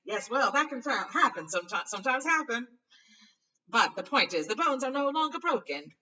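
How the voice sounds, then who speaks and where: Woman doing a pompous flustered wizard teacher guy from a storybook, loud bright voice, bit far from mic. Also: fairly high pitch, very animated, moderate pace, muffled recording, voice pushed up. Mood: flustered bluster. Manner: hesitant, blustering, falsely breezy. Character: bumbling, breezily self-assured male wizard teacher. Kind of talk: storybook character reading.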